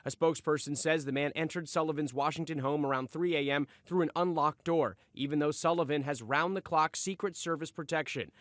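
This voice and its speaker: A man's voice: on the high side, a little fast, few pauses, a bit bright, no room sound. A man with an American accent narrating a scripted television news report as a correspondent.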